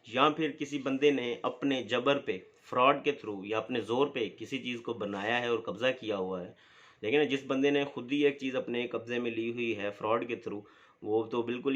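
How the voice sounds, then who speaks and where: A man teaching a law lesson at a mid-range pitch, explaining patiently and padding his sentences with fillers. He slows down carefully whenever he reads legal wording aloud. The recording sounds dull and lo-fi. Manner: explains slowly with many fillers, reads statute text aloud deliberately. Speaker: law lecturer and advocate.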